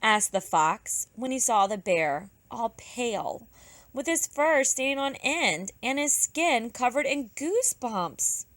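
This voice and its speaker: A woman teacher narrating a children's storybook aloud, expressively, with drawn-out emphasis for young listeners. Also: fairly high pitch, big pitch swings, fairly bright tone, no room sound. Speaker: pre-kindergarten teacher.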